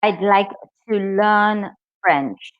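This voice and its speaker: A woman whose native language is English, teaching a live online IELTS lesson, speaking slowly and clearly and cheering her students on. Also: medium pitch, no echo, muffled recording. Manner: slow, clear, encouraging, reads out student comments.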